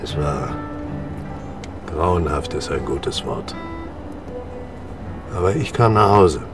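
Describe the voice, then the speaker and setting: A man's voice: rumbling low, animated, takes it slowly, recorded from a distance, over music, exaggerated voice. An actor in a German radio drama playing a plain-spoken, wry retired detective and war veteran in his seventies. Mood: solemn, reflective. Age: 70s. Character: 76-year-old retired detective, proud idealistic war veteran.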